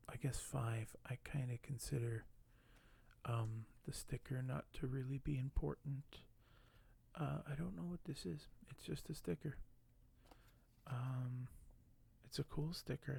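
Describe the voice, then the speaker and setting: A man doing soft-spoken ASMR in a breathy voice that often drops to a whisper, speaking in a slow, hushed, hesitant and apologetic way. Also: mid-range voice. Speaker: ASMR video host.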